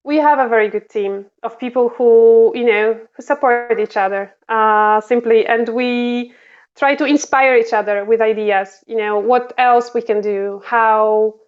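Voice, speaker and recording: A woman's voice: on the high side, fairly even intonation, unhurried, clean tone, lo-fi sound. A woman diplomat with a Polish accent answering interview questions, with many fillers and restarts. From Poland. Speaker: diplomat guest.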